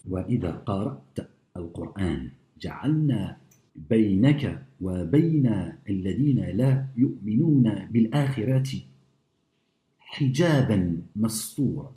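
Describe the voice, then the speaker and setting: A man with a low, warm voice argues over scripture with lively rises and falls in pitch. He reads verses slowly in French and Arabic and keeps checking in with "d'accord?". The room around him is echoey. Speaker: religious commentator. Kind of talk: speaking to an audience about scripture.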